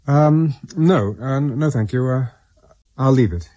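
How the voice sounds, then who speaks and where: An actor playing a polite, diffident English ex-army captain in a radio drama, British-accented and hesitant. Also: male voice, mid-range voice, a little slow, dark tone, close to an everyday voice. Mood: puzzled.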